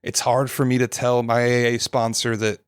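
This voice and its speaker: A man's voice: medium pitch, little pitch movement, normal speed, clean tone, dry recording. Dry-joking man playing a tabletop game. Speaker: guest player.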